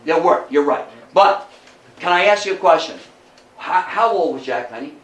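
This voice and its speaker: A man giving an informal public lecture, talking conversationally and haltingly and often putting questions to his listeners. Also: fairly high pitch, animated, a crowd in the background. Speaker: guest lecturer. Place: indoor meeting room, before a local audience. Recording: echoey room, distant microphone.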